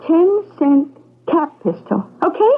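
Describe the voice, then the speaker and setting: An actress playing a scheming, quick-witted pregnant young wife in an old radio drama, coaxing and insistent with theatrical persuasion. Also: female voice, sits high, sing-song, exaggerated voice. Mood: coaxing, calculating. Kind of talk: vintage radio drama acting. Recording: muffled old radio broadcast recording.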